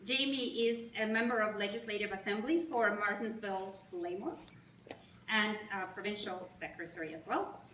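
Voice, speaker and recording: A woman with a Russian accent, an institute president giving a formal speech at a reception, measured and emphatic with pauses between phrases. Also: mid-range voice, fairly bright tone, recorded from a distance, a little hiss or hum. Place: large reception hall with an audience. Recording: echoey hall, voice heard from afar.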